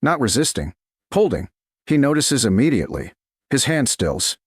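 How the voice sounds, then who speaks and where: Husky man narrating romance with swooping intonation. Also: mid-range voice, big pitch swings, dry recording, clean studio sound. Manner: measured, deliberate, short weighted phrases. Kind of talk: slow dramatic scripted audiobook narration.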